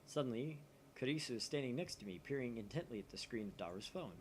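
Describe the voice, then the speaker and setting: A man's voice: medium pitch, fast talker. A man narrating a visual novel aloud expressively, dropping in casual asides. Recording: steady faint background noise.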